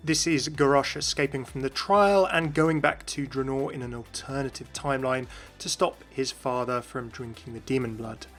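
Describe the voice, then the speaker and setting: A man's voice: medium pitch, fairly even intonation, moderate pace, hardly any pauses, fairly bright tone. A man giving explanatory commentary as the host of a gaming video. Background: music.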